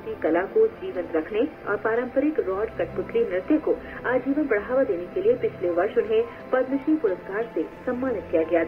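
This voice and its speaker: Tinny radio newsreader, Indian woman. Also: medium pitch. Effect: heard through a small radio speaker. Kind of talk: formal scripted news reading. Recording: tinny, noisy medium-wave radio reception.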